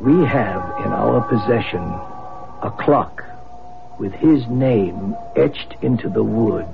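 An actor playing an earnest, confident male paranormal investigator in a vintage radio drama, with a husky voice. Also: on the low side, takes it slowly, faint music behind. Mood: measured, serious. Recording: muffled old radio broadcast.

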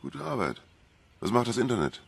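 An actor playing a gruff, dogged police inspector in a radio crime drama, blunt and sardonic. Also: male voice, mid-range voice, very animated, moderate pace, heavily acted. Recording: noticeable background ambience.

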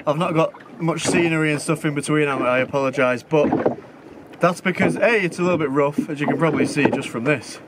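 Loud wind and water noise surrounds a young man. His voice sits a little high for a man. He talks casually, like an angler vlogging from a small open boat. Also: a little fast. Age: 20s. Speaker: angler, video host. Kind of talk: casual outdoor fishing vlog. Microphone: camera mic at a short distance.

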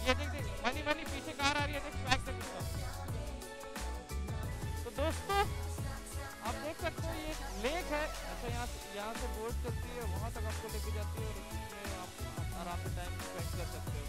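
A man's voice: extremely high pitch, normal speed. A man in his thirties, a travel vlogger, chatting upbeat and casual outdoors on a city street and from his motorbike, caught on an action camera. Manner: upbeat, mixes English phrases into chat. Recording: loud music under the voice.

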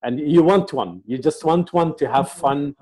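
Host, man, dark warm tone, easygoing and steering the conversation. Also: fairly high pitch, normal speed. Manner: relaxed, laughs easily, steering questions. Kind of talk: casual interview chat. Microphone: video call.

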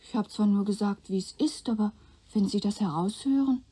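A woman's voice: mid-range voice, brisk, warm tone, husky, close to an everyday voice. An actress playing a polite, self-possessed young woman who speaks matter-of-factly in a radio play. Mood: composed, frank. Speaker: actor in a German radio play. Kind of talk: radio drama dialogue.

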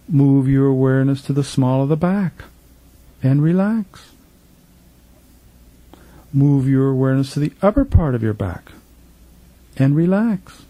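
A male meditation teacher speaks slowly in a deeply mellow, relaxed voice. His pitch moves freely, with phrases trailing off at the end. He gives soothing, repetitive instructions as a guide through relaxation. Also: no room sound. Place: professional studio.

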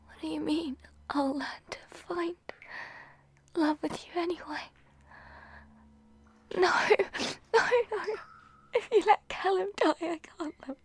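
A woman voicing a frightened young female student held captive, pleading in tearful breathy whispers. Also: very high voice, full character voice. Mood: terrified. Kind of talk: audio roleplay drama.

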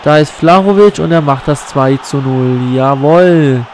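Slow-talking man with a stuffy nose. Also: on the high side, a little mellow, a little hiss or hum. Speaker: gaming YouTuber. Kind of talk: game commentary. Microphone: USB condenser mic.

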